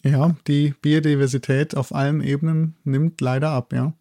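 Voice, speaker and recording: A man's voice: fairly high pitch, little pitch movement, normal speed, mellow, no echo, clean studio sound. A man from Germany with a German accent, explaining things as an expert guest, with hesitant phrasing and frequent tag questions. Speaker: guest, university zoologist. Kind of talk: explanatory interview talk.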